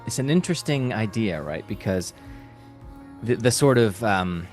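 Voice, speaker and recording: A man speaking as a voice actor guest in a casual interview, halting and thinking aloud as he goes. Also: fairly low pitch, expressive, a little mellow, soft background music.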